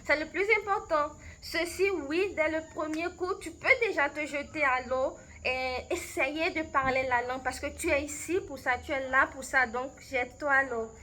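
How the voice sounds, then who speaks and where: A woman's voice: high-pitched, steady tone, moderate pace, hardly any pauses, sharp tone, slight background noise. A woman from Benin with a Beninese French accent, a language coach answering interview questions in a thoughtful way, with many hesitations and restarts.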